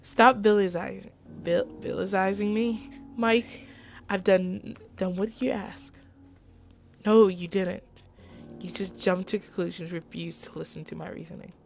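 A woman's voice: mid-range voice, fairly even intonation, a little slow, gravelly, faint music behind. A woman gaming co-host with a theatrical, emotive delivery, acting out visual novel dialogue.

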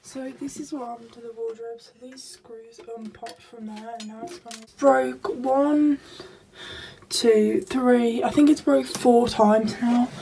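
Teenage girl, chatty rambling vlogger, slightly high voice, bedroom, hissy home video. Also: mellow. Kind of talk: casual vlog chat.

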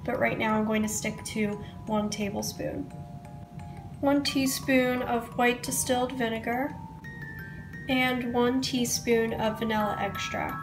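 A woman's voice: fairly high pitch, steady tone, measured pace, music in the background. A young woman who is sick with a cold, giving a casual baking tutorial in a home kitchen. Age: young adult. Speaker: baking vlogger. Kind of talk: casual recipe tutorial narration.

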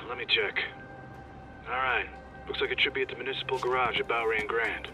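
An actor playing a curt, weary American sanitation dispatcher in a video game. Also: male voice, medium pitch, sing-song, moderate pace, creaky. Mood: bored, grudging. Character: brusque, unhelpful male sanitation dispatcher. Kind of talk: video game cutscene acting. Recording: music behind a phone call.

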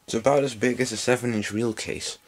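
A man's voice: mid-range voice, fairly even intonation, a little fast. A man giving a casual hands-on gadget demonstration indoors, picked up by a camera microphone. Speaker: gadget collector presenting. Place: indoors at a cluttered workbench. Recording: faint background noise.

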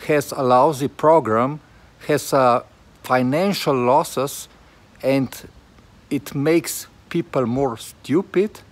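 Animated man vlogger, lively pitch swings. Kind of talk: presenting to camera and narrating a car tech video.

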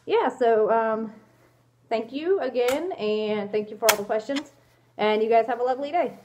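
A woman's voice: on the high side, normal speed, mellow, slight background noise. A young woman with a congested cold, answering viewer questions in a casual vlog, hesitant and apologetic with many ums, filmed on a phone held at arm's length in a small room. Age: young adult. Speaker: fursuit vlogger answering questions. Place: small indoor room, in costume. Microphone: phone camera at arm's length.

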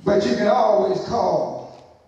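Middle-aged male pastor, high voice, slow and deliberate delivery, captured from afar in a reverberant sanctuary. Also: expressive, lo-fi sound. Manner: preaching with rising, shouted emphasis and call-outs to the congregation. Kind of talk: sermon. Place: church sanctuary, large, indoors. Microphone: pulpit microphone, far camera. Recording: very echoey church hall from a distance.

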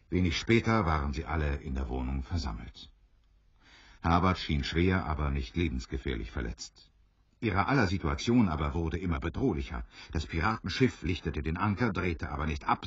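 A man narrating an adventure radio play as a grave, expressive storyteller who builds suspense. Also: sits low, husky, no echo, heavily acted. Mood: dramatic. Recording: slightly dull, dry studio recording.

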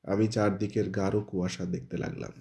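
A man narrating a short story with a mellow, warm tone. He slips into the characters' lines as he reads, carrying the dialogue without leaving the narration. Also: deep voice, little pitch movement, a little fast. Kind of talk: scripted story reading.

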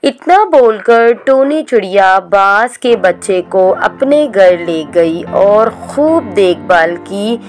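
A woman narrating a children's cartoon story in a slow, even storytelling manner. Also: mid-range voice, soft background music.

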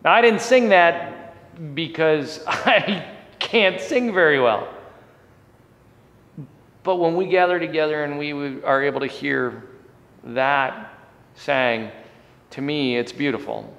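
Earnest pastor in a reverberant church. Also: male voice, fairly high pitch, takes it slowly, long pauses, fairly bright tone. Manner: earnest, rising and falling emphasis. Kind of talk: sermon and prayer. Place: church sanctuary, indoors. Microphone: fixed camera at chest height. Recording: long church hall reverb.

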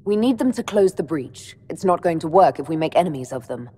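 Composed elven heroine, hall reverb. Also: female voice, low-pitched, expressive, fairly quick, warm tone, slight background noise. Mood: firm. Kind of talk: video game cutscene acting. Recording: long hall reverb, background music.

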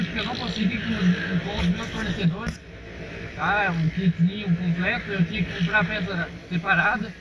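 A young Brazilian man with a fairly high voice talks casually to his viewers as he rides. The sound comes from a dull, muffled helmet camera, with steady engine and wind noise loud behind him. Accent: Brazilian Portuguese. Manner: chatty, addresses viewers as "rapaziada". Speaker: motovlogger. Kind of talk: casual motovlog while riding. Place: outdoors on a dirt road, riding a motorcycle. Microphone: helmet-mounted action camera.

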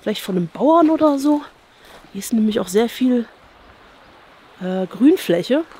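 A woman's voice: on the high side, lively intonation, measured pace, warm tone, a little hiss or hum. A woman in her thirties with a German accent, vlogging as she walks through an abandoned campsite outdoors, musing aloud and often asking viewers to agree. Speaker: urban exploration vlogger. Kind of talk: casual walking commentary to viewers. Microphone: action camera worn on chest.

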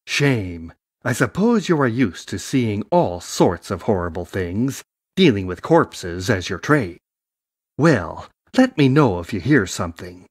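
A man's voice: on the low side, sing-song, a little slow, no echo, studio quality. A man playing a nosy, familiar, gossipy tradesman in an audio drama. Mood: breezy.

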